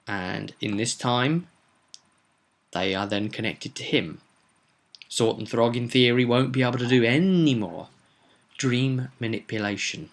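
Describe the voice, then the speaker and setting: A man's voice: mid-range voice, a little slow, long pauses. A man giving an informal spoken recap as game master of a tabletop roleplay, explaining events with emphasis like a storyteller.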